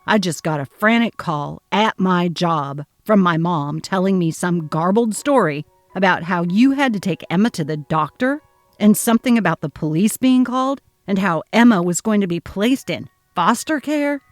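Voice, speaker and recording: A woman's voice: on the low side, expressive, moderate pace, dry recording, soft background music, close to an everyday voice. A woman voicing a worried, conflict-avoidant young husband in a dramatic reading of a text-message story. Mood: alarmed.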